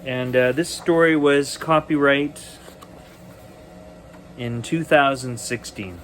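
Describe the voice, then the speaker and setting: A man reading a children's bedtime story aloud expressively, with deliberate dramatic pauses. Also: medium pitch, a bit bright, a little hiss or hum.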